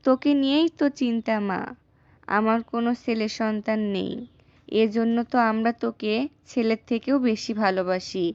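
A woman's voice: fairly high pitch, fairly even intonation, moderate pace, darkish tone, clean tone, dry recording, highs cut off, natural voice. A woman playing a loving, worried mother from a poor village in a cartoon. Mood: caring, worried. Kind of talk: acted cartoon dialogue.

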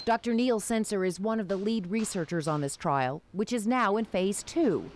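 A woman's voice: medium pitch, expressive, moderate pace, a little mellow, a little hiss or hum. A woman with an American accent narrating a scripted TV news report.

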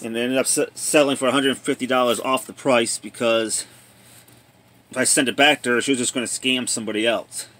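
A man giving casual, plainspoken commentary on a handheld video, thinking aloud in a halting way as a hobbyist showing a repair. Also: mid-range voice, fairly even intonation, a bit bright. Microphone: handheld phone or camera.

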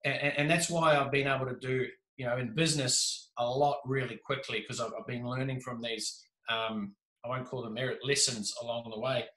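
A man in his thirties with a New Zealand accent, telling his story as a podcast guest on a video call, animated and jokey. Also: mid-range voice, fairly quick. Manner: animated, gestures a lot, jokey. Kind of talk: casual storytelling. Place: small room indoors. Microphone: video call with desk mic. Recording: echoey room.

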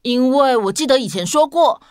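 A woman's voice: high voice, expressive, moderate pace, a little mellow, no room sound, studio quality. A woman explaining facts to children as a podcast co-host.

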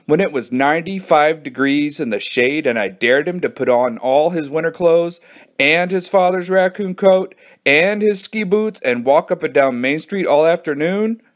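A man as a fretful, complaining boy, pitched up. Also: animated, moderate pace, natural voice. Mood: grumbling. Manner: fretful, exclaiming. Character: worried, complaining, stubborn-minded boy. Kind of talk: children's audiobook character voice.

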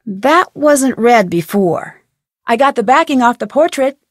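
An actress playing a plucky, curious young detective who perkily talks to herself in a video game. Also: female voice, a little high, animated, measured pace, dry recording, studio quality. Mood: curious, musing. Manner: perky, crisp, self-talking. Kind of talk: video game character lines.

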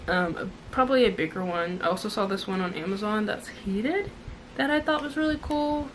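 A young woman casually showing off a shopping haul from her couch, chatting in a relaxed way and listing items with asides. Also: medium pitch, noisy background. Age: young adult. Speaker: vlogger. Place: living room, on a couch indoors.

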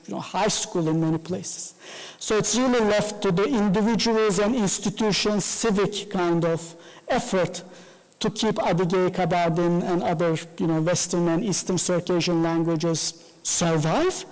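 A man's voice: high-pitched, darkish tone, in a large hall, poor audio. A man whose first language is Turkish, giving an academic lecture at a lectern with many fillers. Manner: gestures while talking, frequent fillers. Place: lecture room. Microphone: lectern mic, far camera.

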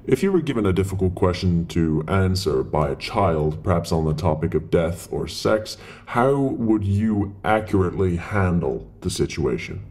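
A man's voice: sits low, lively intonation, moderate pace, hardly any pauses, a little mellow. A man acting a cold, detached company interviewer in a horror drama, speaking in a formal, clipped way. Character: cold, businesslike male company interviewer with sinister intent.

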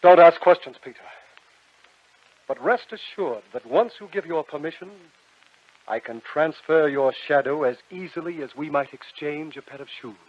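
An actor playing a silky, devilish stranger in a radio drama, coaxing with theatrical, mock-courteous charm. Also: male voice, on the high side, normal speed, lightly acted. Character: sly, silky devilish stranger who buys shadows. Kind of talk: old-time radio drama acting. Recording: dull vintage radio recording with music.

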